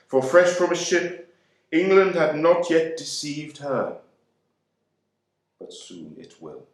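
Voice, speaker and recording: A man delivers a literary monologue in a measured, emphatic manner, taking his time over every phrase. His voice sits at an ordinary pitch for a man. The recording rings with heavy room echo. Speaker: reader performing a monologue. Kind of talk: dramatic literary monologue reading. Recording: very echoey room.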